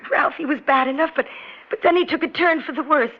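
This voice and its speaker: A woman's voice: sits high, fast talker, natural voice. An actress playing a frantic young mother pleading for help for her sick son in a 1950s radio drama. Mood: frantic worried. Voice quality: urgent, pleading. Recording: dull old radio recording with faint hiss.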